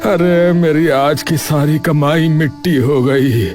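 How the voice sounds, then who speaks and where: A man's voice: on the high side, slow pace. Man acting a wailing older village farmer over music. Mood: wailing, aggrieved. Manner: wailing, lamenting. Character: distressed village farmer, older man, bitter then forgiving. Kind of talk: animated moral story acting. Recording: music behind the voice.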